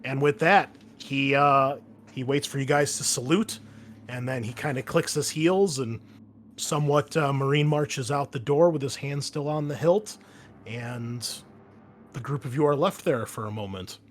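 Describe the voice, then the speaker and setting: A man's voice: medium pitch, measured pace, a little hiss or hum. A man acting as game master, narrating and explaining casually and hesitantly to players.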